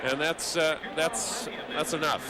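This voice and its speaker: A man's voice: fairly high pitch, fairly even intonation, measured pace, over a noisy crowd, low-quality recording. A man doing live radio play-by-play of a hockey game, wordy and wry, slipping conversational asides between the calls. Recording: live arena broadcast with background noise.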